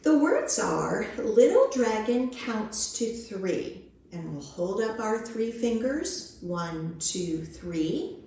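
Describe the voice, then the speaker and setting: A woman's voice: mid-range voice, sing-song, takes it slowly, dark tone, reverberant, a little hiss or hum. A woman hosting storytime for young children, reading picture books expressively and giving encouraging, simple instructions. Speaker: children's librarian, storytime host.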